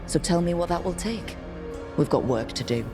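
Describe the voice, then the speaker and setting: Woman playing game hero lady in charge, deep for a woman, steady n determined, bit of music under it. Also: normal speed, close to an everyday voice. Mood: resolute. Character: determined woman leader of a band of heroes, steady and blunt. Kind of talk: video game cutscene acting. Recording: music behind the voice.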